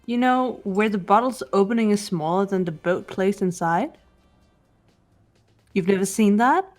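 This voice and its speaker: A woman's voice: mid-range voice, a little slow, a little mellow, natural voice. Woman acting as teen student, mid-range voice, matter-of-fact, game dialogue, music behind. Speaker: player voicing a game character. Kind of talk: voiced let's play, reading game script.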